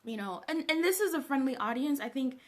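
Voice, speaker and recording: A woman's voice: high-pitched, lively intonation, fairly quick. A woman from Zambia chatting casually on a livestream, greeting viewers by name and laughing often. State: just woke up. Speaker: livestream host. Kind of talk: casual live-stream chat with viewers.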